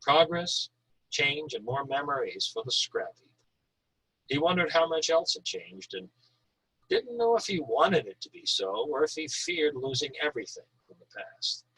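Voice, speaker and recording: A man's voice: medium pitch, lively intonation, moderate pace, pushed and bright, slightly rough, rough recording. An American man from Northern Maine, an author reading prose and talking informally about writing. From Northern Maine, USA. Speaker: author reading and discussing their own thriller.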